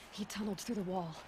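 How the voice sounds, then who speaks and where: A woman's voice: mid-range voice, background noise, natural voice. A woman playing a determined, watchful young warrior tracker in a video game, speaking in a terse, matter-of-fact way. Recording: music behind the voice.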